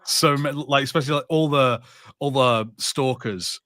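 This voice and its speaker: A man's voice: medium pitch, moderate pace, bright, forceful tone, no room sound, studio quality. A man co-hosting a podcast in casual film chat, joking and swearing freely. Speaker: podcast co-host. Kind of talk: casual watch-party chat about films.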